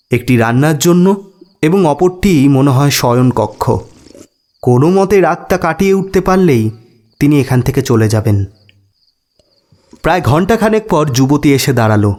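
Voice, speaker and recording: A man's voice: a little high, a little mellow. A man narrating a scripted ghost story as a storyteller.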